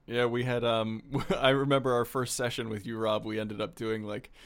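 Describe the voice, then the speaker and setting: A man hosting a casual interview, easygoing, agreeing quickly and laughing along. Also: medium pitch, little pitch movement. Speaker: podcast co-creator, interviewer.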